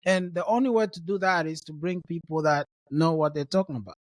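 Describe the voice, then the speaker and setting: A man's voice: fairly high pitch, fairly quick, no echo, clean studio sound. A man from Rwanda hosting a podcast, talking in a friendly, casual way and often repeating words as he thinks. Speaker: podcast host. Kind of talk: conversational interview.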